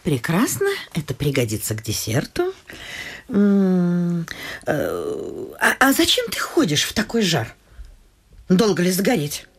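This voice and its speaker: A woman playing a flustered, fussy middle-aged noble mother in a vaudeville radio play, breathy and stammering theatrically. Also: fairly low pitch, wildly swooping pitch, a little slow, heavily acted. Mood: curious, fussy. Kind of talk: Russian classic vaudeville radio play acting.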